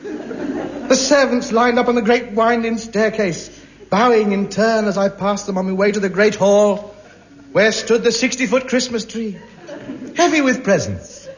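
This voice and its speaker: A man's voice: high voice, a little slow. An actor playing a pompous, self-deluding man in a 1950s radio sitcom, delivering grandiose, indignant lines. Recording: muffled old radio broadcast with hiss.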